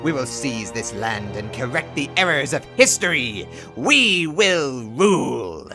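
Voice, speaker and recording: Slow, grandiose villain warlord played by a man. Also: mid-range voice, sing-song, music in the background. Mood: menacing, triumphant. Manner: measured, declamatory, gloating. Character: cold, grandiose male villain warlord commanding armies. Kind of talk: radio play acting.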